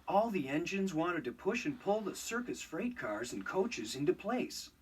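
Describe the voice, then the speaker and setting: A man narrating a children's read-along storybook in an expressive, scripted storytelling style. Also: high voice, a little slow, slightly rough, far from the mic.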